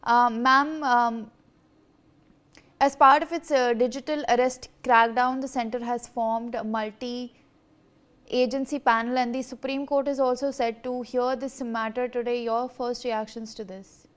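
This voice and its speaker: A female news anchor with a high-pitched voice and an Indian accent. She handles live handovers and questions in a somewhat hesitant, formal way. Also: level tone, measured pace.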